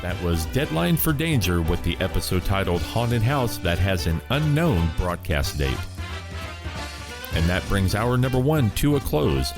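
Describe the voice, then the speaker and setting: A man's voice: low-pitched, big pitch swings, a little slow. A man hosting a radio show, delivering scripted announcements and introductions. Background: guitar music.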